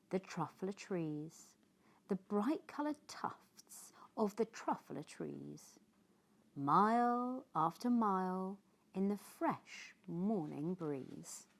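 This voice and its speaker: A woman with a British accent reading a children's story aloud in rhyme, in an expressive, dramatic way. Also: medium pitch, gravelly. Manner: slow, dramatic, animated.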